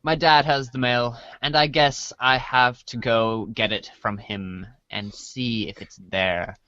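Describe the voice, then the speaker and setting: A man's voice: medium pitch, fairly even intonation, slow, pushed and bright, dull audio. A man voicing an earnest, awkward boy in a comedic storybook read-along. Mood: earnest, exasperated.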